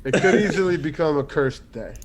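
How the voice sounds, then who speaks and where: A man's voice: on the high side, expressive, brisk. A man voicing a blunt, boastful warrior character who teases his companions. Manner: blunt, teasing.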